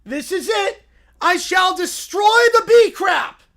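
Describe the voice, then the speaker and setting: A man voicing a naive, excitable little bear cub with a high, yelping, panicky voice. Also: takes it slowly, heavily acted. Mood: frantic. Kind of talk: reading a silly story aloud.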